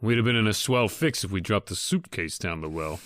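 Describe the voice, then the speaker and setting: An actor playing a gruff, uneasy crook's accomplice in a slangy, grumbling old-time radio horror style. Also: male voice, fairly low pitch, expressive, a little fast, crowd noise, natural voice.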